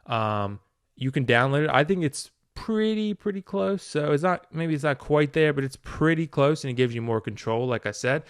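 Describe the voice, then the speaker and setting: Male tutorial presenter, informal and conversational, crisp tone, dry recording. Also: fairly high pitch, lively intonation, normal speed, no echo. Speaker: tutorial video maker. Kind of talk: casual hands-on app tutorial.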